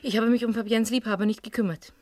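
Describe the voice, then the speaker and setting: A woman's voice: on the high side, fairly even intonation, fast, a little mellow, no room sound, natural voice. An actress playing a cool, guarded young nightclub woman under questioning in a German crime radio play, evasive, curt and dryly dismissive.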